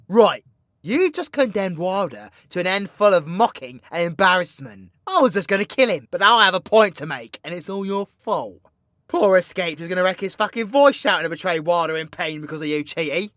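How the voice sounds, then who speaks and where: A man's voice: sits high, big pitch swings, normal speed, bright, forceful tone, no echo, clean studio sound, heavily acted. Man acting a cocky British villain, wildly swooping voice. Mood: mocking, exasperated. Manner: brash, theatrical, crude British slang. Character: cocky, foul-mouthed male warlock villain. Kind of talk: villain audio roleplay acting.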